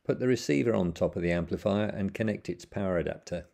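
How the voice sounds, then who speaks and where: A man's voice: deep voice, fast talker, gravelly, mellow. A man narrating a plain, methodical how-to voice-over, giving step-by-step instructions with the odd small slip.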